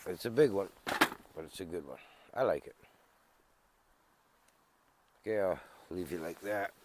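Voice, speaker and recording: A man's voice: low-pitched, expressive, brisk, background noise. A man with a congested voice from a cold, chatting casually to camera in the woods while he sets up camp gear. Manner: rambling, easygoing, thinks aloud. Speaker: outdoor vlogger. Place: outdoors in the woods.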